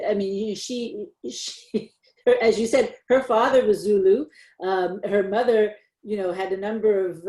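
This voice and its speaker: A woman's voice: medium pitch, darkish tone, echoey room. A woman moderating an online talk, asking questions hesitantly with many fillers. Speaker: moderator, associate professor.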